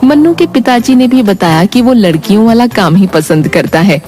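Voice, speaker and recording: A woman's voice: mid-range voice, lively intonation, moderate pace, dark tone, soft background music. A woman narrating a scripted bedtime moral story.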